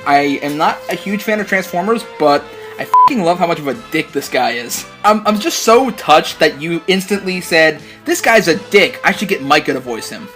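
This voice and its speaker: Higher-voiced man bantering over music. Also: lively intonation, normal speed. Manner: joking, sweary. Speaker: voice actor in a fan dramatic reading. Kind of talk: casual banter in outtakes.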